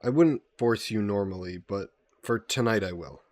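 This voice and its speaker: A man playing a gentle, guilt-burdened vampire caretaker in an intimate audio roleplay, speaking politely and formally with some hesitation. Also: on the low side, moderate pace, dry recording, natural voice. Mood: gentle, reassuring. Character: gentle, guilt-burdened male vampire employer caring for a guest.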